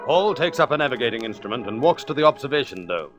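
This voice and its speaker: A man's voice: mid-range voice, sing-song, fast, loud music behind the voice, close to an everyday voice. A man narrating an adventure serial in a dramatic, urgent old-time radio announcer style.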